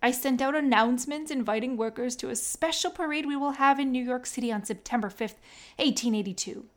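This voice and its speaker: A woman's voice: high voice, brisk, natural voice. A woman voicing an earnest American machinist man who explains things like a tour guide. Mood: cheerful.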